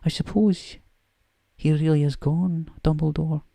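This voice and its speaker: Guy doing a stern older scottish lady professor, proper and exasperated, pitched up, storybook character, close dry mic. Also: steady tone, unhurried, vocal fry, warm tone, no echo. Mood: irritable, indignant. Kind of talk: softly voiced bedtime story character acting.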